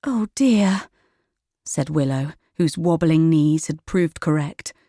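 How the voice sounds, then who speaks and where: A woman narrates a children's audiobook. Her voice sits low, and the recording is dry with no room around it. She reads scripted storybook prose. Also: fairly even intonation, unhurried, dark tone, dry recording.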